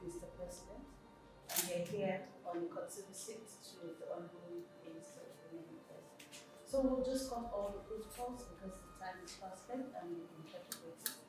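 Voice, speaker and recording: A woman speaking for a visiting delegation, briskly introducing officials by title. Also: on the low side, over music. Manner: brisk, formal, reads out titles. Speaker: delegation spokesperson. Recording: echoey room from far off.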